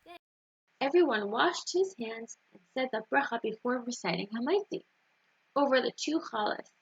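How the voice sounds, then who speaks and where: A woman storyteller reading aloud to children in an animated style. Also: on the high side, normal speed, breathy edge. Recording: dull, roomy lo-fi recording.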